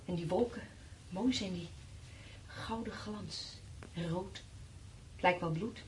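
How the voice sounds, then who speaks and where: A woman's voice: fairly low pitch, slow pace, a little hiss or hum, rough recording. An actress playing a bitter, frightened woman survivor in a radio play, brooding and sarcastic, swinging between dreamy and cutting. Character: bitter, frightened, mocking woman surviving a catastrophe with her partner. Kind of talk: naturalistic radio play acting. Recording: radio play with background ambience.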